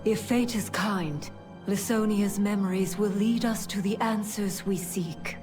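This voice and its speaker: A woman playing a solemn, authoritative winged paragon in a fantasy game, speaking in a measured, formal way. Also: medium pitch, close to an everyday voice. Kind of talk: fantasy video game voice acting. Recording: game music behind the voice.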